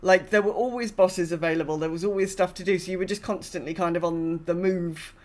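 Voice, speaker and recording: A woman's voice: lowish voice, fairly even intonation, brisk, a little mellow. A woman co-hosting a podcast, chatting casually about gaming in an informal, loosely phrased way. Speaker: podcast co-host.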